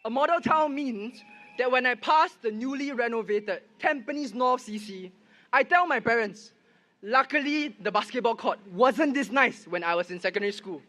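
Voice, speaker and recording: A man's voice: very high-pitched, moderate pace, sharp tone. A young man giving an earnest, story-driven speech at a political rally. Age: young adult. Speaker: first-time voter speaking at rally. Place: outdoor rally with loudspeakers.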